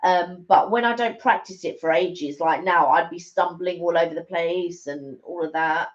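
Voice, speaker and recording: A woman's voice: fairly low pitch, normal speed. A woman co-hosting a live stream in casual, informal chat, readily responding to her partner. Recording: dull, lo-fi livestream audio.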